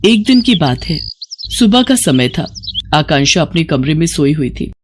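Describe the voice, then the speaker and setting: A storyteller delivers scripted bedtime narration at a middle pitch. The track has clear noise and some clicks. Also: sing-song, normal speed.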